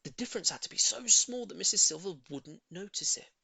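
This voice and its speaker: A man narrates a children's storybook with theatrical emphasis. His voice sits a little high and swings widely in pitch, with a gravelly creak in places. He leans into key words expressively. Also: lively intonation, fairly quick, bright, forceful tone, no room sound. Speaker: audiobook reader.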